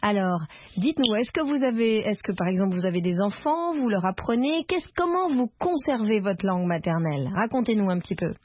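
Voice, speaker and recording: A woman's voice: medium pitch, expressive, fairly quick, dull audio, a little hiss or hum. A woman hosting a radio phone-in, politely greeting callers and prompting them with questions. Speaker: radio host.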